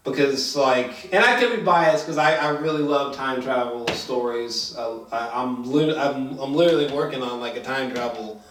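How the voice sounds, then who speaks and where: A man giving a casual film review as host, talking in a halting, informal way and swearing freely. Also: medium pitch, noisy background. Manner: halting, filler-heavy, swearing, animated when excited. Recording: echoey room, distant from mic.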